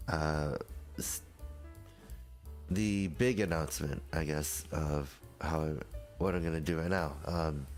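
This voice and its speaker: A young man streamer, stuffy from just waking up, rambling hesitantly through a casual announcement to his viewers. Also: sits low. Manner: rambling, hesitant, many fillers and restarts.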